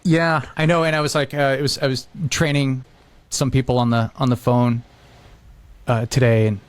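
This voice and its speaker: A man's voice: mid-range voice, level tone, moderate pace, clear, resonant tone. A man chatting casually as a podcast host, informal and emphatic, often repeating himself. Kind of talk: casual chat.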